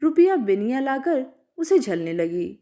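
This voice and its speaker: A woman storyteller narrating a literary story from a script in an expressive way. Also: sits high, a little slow.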